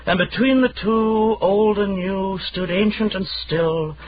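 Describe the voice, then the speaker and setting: An actor playing a wry poet narrator who recites verse about an old city in a halting, theatrical way. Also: male voice, high voice, dull audio, slight background noise. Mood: declamatory. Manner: halting, self-correcting, declamatory.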